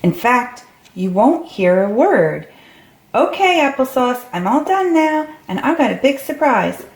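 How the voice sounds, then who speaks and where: A woman's voice: high voice, very animated, a little slow. A woman reading a storybook aloud to young children. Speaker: storybook reader. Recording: roomy, echoey recording.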